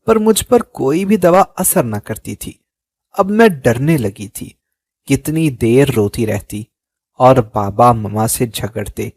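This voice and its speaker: A man narrating a sad first-person story in a slow, measured storytelling manner. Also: mid-range voice, a little mellow, no room sound.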